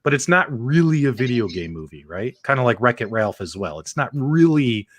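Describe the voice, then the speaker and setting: A man's voice: mid-range voice, big pitch swings, moderate pace. A man hosting a casual live talk show about video game movies, chatty and laughing, with frequent fillers. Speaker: host. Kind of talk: casual livestream chat.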